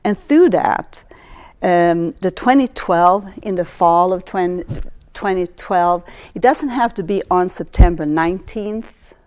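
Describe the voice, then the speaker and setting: A woman's voice: fairly low pitch, darkish tone, clear, resonant tone. A woman from Sweden with a slight Swedish accent, giving a rambling astrology talk with many restarts and fillers. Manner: rambling, halting delivery with frequent restarts and fillers. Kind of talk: astrology lecture.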